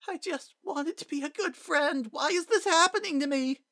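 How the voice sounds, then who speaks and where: Small monster boy, played by a man: high-pitched, fragile, sorrowful and pleading, for a dramatic audiobook-style fantasy story. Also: normal speed, sharp tone, no room sound, clean studio sound, full character voice. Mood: terrified, crying out. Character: frightened young monster boy, gentle and sorrowful.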